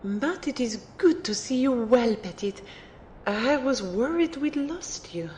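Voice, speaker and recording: Woman doing a slow, haughty mage mentor. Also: a little high, lively intonation, darkish tone, a little hiss or hum. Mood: teasing fondness. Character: haughty, fond French female rune mage mentor. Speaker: voice actor in an amateur audio drama. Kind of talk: acted dialogue in an audio drama.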